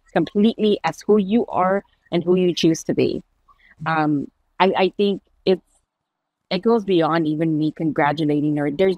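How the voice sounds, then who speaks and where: A woman's voice: lowish voice, a little mellow, no echo, rough recording. A woman hosting a conversational interview podcast, speaking in an affirming, encouraging way. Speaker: podcast host.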